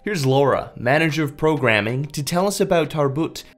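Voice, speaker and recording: A man's voice: fairly high pitch, expressive, normal speed, soft background music. A man hosting an interview, talking in a friendly, chatty way and often saying "like". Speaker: interviewer host. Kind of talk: casual interview chat.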